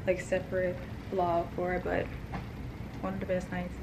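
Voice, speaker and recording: A young woman vlogger with a hoarse, raspy voice after a concert, chatting to camera in a gushing, chatty way full of "like" and "literally". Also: fairly low pitch, monotone, normal speed. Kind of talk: casual vlog chat to camera. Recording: clear background ambience noise.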